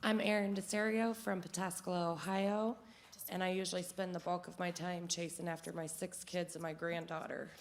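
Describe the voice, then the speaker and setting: A middle-aged woman from the United States speaks in a lowish voice. She jokes casually as she introduces herself over faint background noise. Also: little pitch movement, moderate pace. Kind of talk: casual self-introduction to a group. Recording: faintly noisy room, distant pickup.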